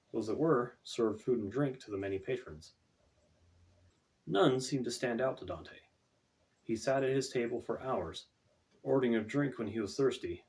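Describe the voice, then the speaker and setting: A man's voice: medium pitch, darkish tone, rough recording. A man reading his own fantasy novel as scripted audiobook narration, recorded away from the microphone.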